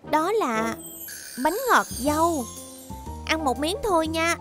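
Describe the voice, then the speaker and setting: A woman's voice: high voice, lively intonation, slow, music in the background. A woman playing a cautious, prim young cartoon heroine in a Vietnamese dub, earnest and girlish. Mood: craving. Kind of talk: Vietnamese-dubbed cartoon acting.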